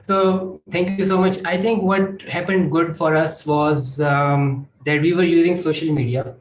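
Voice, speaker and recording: Higher-voiced Pakistani man, echoey room. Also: steady tone, unhurried, highs cut off. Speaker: panelist, project grantee. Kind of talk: explanatory panel talk. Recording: echoey room, faint background noise.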